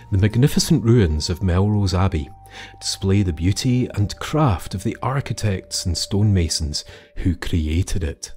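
A man narrating scripted folklore in a measured, theatrical way, with dramatic pauses. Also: low-pitched, a little mellow. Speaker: storyteller and host. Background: music under the voice.

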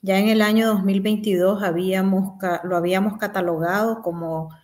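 Young woman lawyer talking on a video call from home, earnest, mid pitch, restarts a bit as she looks for words. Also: steady tone, moderate pace, a little mellow. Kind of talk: remote interview. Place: small room at home.

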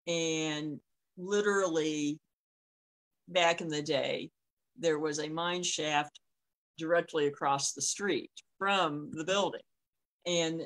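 A middle-aged woman telling stories casually on a video call, often pausing mid-thought. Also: sits low, fairly bright tone, poor audio. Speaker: co-host. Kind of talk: casual conversational storytelling. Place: small room at home, on a video call. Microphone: device mic on a video call.

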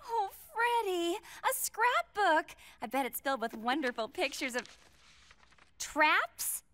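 High, girlish cartoon sleuth. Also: takes it slowly, no echo, heavily acted. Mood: hopeful, let down. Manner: breezy, expressive. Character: sweet, girlish young woman, earnest cartoon sleuth. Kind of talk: cartoon acting.

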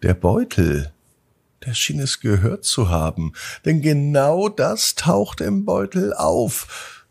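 A man hosting a children's bedtime podcast, narrating in a gentle, drawn-out storytelling manner. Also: fairly high pitch, animated, creaky, slightly rough.

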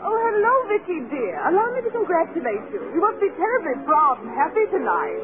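A woman's voice: very high-pitched, sing-song, brisk, phone-quality sound. An actress playing a gushing, polite society woman in a vintage radio drama. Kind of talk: 1930s-40s radio drama acting. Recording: thin vintage radio, crowd behind.

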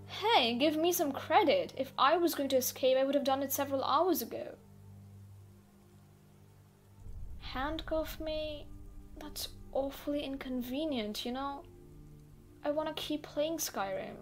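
A woman's voice: high voice, gravelly. A woman acting an easygoing, teasing gamer captive in an audio roleplay, speaking in a playful, nonchalant, conversational way. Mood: pleading, reassuring. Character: easygoing, teasing female gamer held captive, unbothered adult. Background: background music.

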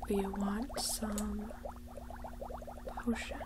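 Woman acting as a slow, soft-spoken reclusive witch. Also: level tone. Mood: calm, obliging. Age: 20s. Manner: hesitant, low-key, halting. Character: reclusive young witch, awkward, guarded, obliging. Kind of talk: soft-spoken ASMR roleplay. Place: indoors, small set with painted backdrop. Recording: clear background noise, bubbling ambience.

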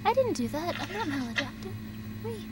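A woman's voice: very high-pitched, sing-song, brisk, a crowd in the background. A woman streamer giving casual reaction commentary while watching a horror video.

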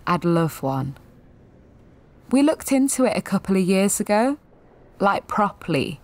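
A woman's voice: medium pitch, sing-song, a little slow. An actress in her twenties playing a jaded, sweary, sensitive gallery attendant in a confessional audio drama monologue, wry and self-deprecating. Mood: rueful. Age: 20s.

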